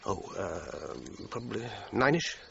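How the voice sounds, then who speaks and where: A man's voice: low-pitched, sing-song, takes it slowly, gravelly, fairly bright tone, a little hiss or hum, exaggerated voice. Hesitant, defensive British male suspect, dull recording. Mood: hesitant, guarded. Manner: hesitant, evasive, faltering. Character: defensive, uneasy male suspect in a police inquiry. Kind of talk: radio drama acting.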